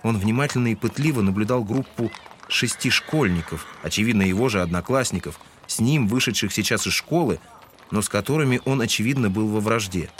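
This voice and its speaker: A man's voice: fairly low pitch, fairly quick, slight background noise. A man giving scripted literary narration in an audio drama. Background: music.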